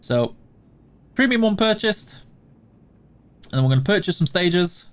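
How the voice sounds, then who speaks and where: A Yorkshire man with a fairly high voice chats through a live game stream, fielding viewer questions one after another. He is casual and self-deprecating, often joking at his own expense. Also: big pitch swings, measured pace, clean tone. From Yorkshire, England. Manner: chatty, self-deprecating, hums between answers.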